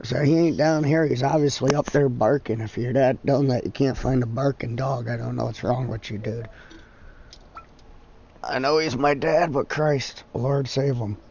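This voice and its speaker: A man's voice: mid-range voice, normal speed, a little mellow, slight background noise. Rambling, cussing man in his thirties. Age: 30s. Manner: rambling, profane and exasperated. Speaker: game streamer. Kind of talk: casual chat.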